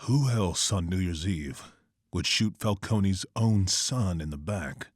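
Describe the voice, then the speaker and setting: An actor playing a grim, brooding vigilante detective, terse and measured, in a scripted motion comic. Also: male voice, low voice, expressive, no echo, clean studio sound, heavily acted. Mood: analytical.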